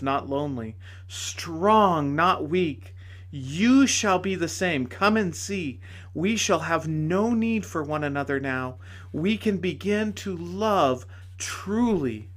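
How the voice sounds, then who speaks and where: A man voicing a radiant, joyful woman spirit who speaks with gentle teasing. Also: on the high side, slow pace, voice pushed up. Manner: bright, warmly teasing.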